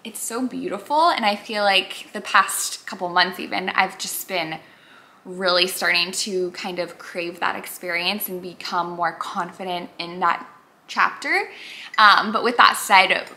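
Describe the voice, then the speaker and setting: A woman's voice: lowish voice, a little slow, pushed and bright. A woman in her twenties chatting casually to camera in a get-to-know-me vlog, bubbly and full of filler words. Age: 20s. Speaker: YouTuber.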